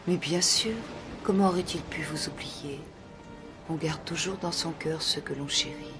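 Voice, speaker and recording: A man voicing a wise, gentle talking swan in a cartoon, advising with calm formality. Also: on the high side, a little slow. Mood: reassuring. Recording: music behind the voice.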